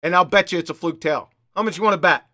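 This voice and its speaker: A man's voice: fairly high pitch, quick pace, bright, forceful tone, no echo, clean studio sound. A man hosting a live panel commentary, mocking and emphatic, hammering home short repeated phrases. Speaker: host of a live debunking show.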